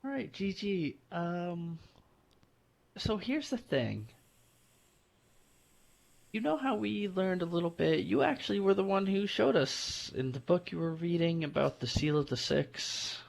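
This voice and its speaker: A man's voice: high-pitched, expressive, a little slow, vocal fry. A man playing a tabletop roleplaying game, chatting casually in in-game banter.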